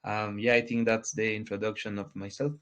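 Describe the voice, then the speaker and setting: Guest, 20s male, low voice, hesitant and restarting, lo-fi sound. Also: flat delivery, a bit bright. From Ecuador. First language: Spanish. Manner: halting, restarts often, searches for words. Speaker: guest, crypto project founder. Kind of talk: casual interview answers. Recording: dull lo-fi audio.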